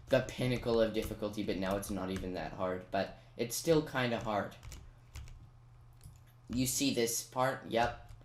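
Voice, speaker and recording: A person giving a casual step-by-step video game guide, talking directly to viewers with chatty asides. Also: medium pitch. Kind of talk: casual step-by-step gameplay commentary. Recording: roomy echo, noisy, keyboard clicks.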